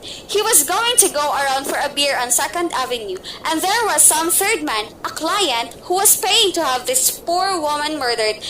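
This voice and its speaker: A woman voicing a nervous, bedridden, wealthy woman in an amateur radio play who talks in a shrill, impatient, pleading way. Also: bright, forceful tone, slight background noise, poor audio.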